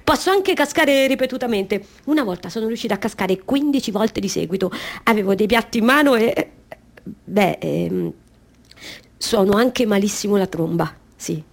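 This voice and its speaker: An actress playing a quirky, eager clown woman in a radio drama monologue, halting and repeating herself. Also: mid-range voice, lively intonation, creaky. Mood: eager, whimsical. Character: quirky, eager woman circus clown listing odd talents.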